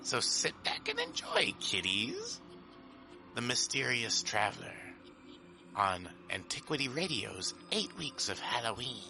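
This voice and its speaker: Over clear music, a man puts on a creepy gravekeeper host for a horror show. He drags his words slowly and swoops in pitch, theatrical and gleefully mock-friendly. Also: huge pitch swings, heavily acted, low-quality recording. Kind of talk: scripted horror show introduction.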